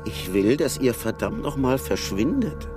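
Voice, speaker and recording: An actor playing a gruff, menacing man in a German crime radio drama, curt and threatening. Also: mid-range voice, lively intonation, measured pace, natural voice. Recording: music bed under the voice.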